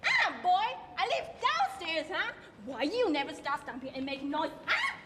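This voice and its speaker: A woman's voice: very high-pitched, very animated, normal speed, heavy background noise. A young woman playing a pushy, chatty Singaporean auntie in a school stage skit, with a put-on Singlish accent and tag words. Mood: scolding. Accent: Singaporean English, put on. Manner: brash, Singlish tags, scolding then friendly. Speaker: student performer. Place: large auditorium stage. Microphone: stage floor mics from afar. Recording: hall reverb from far off.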